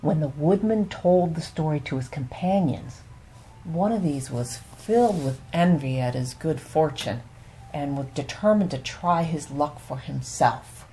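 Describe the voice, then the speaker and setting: A woman telling bedtime fables as a storyteller, in an unhurried, soothing and expressive way. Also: sits low, a little hiss or hum, low-quality recording.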